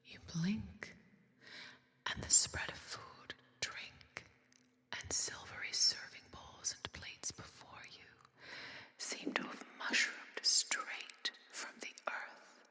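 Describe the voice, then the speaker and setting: A woman whispering a story in a soft-spoken, hushed and deliberate ASMR style, close to a binaural microphone. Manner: slow, hushed, deliberate delivery with careful pauses. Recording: long reverb over music bed. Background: music.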